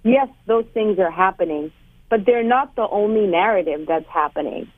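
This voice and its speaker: A woman in her thirties from Malawi, a guest author telling her story in a radio interview with lively, laughing energy. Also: medium pitch, moderate pace, dry recording. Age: 30s. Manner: animated storyteller, laughs often. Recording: tinny phone line.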